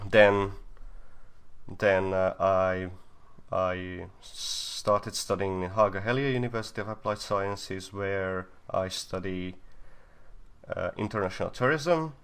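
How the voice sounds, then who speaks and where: A man's voice: deep voice, fairly bright tone, clean tone. A young man from Slovakia whose first language is Hungarian, casually introducing himself as a co-host on a live stream.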